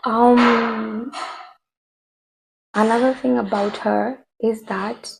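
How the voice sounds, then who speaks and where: A woman talking casually to her viewers, chatty and rambling with many fillers, her voice hoarse from a cold. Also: on the high side, fairly even intonation, drawn-out words, a little hiss or hum. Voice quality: husky, gravelly. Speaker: vlogger. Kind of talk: casual commentary to camera.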